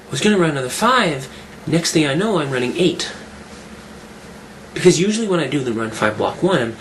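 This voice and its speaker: A man talking casually in a vlog, rambling and restarting his sentences, his voice rough from a cold. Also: on the high side, fairly bright tone, a little hiss or hum, poor audio. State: getting over a cold, rough voice. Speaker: fitness vlogger. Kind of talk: casual weekly update vlog.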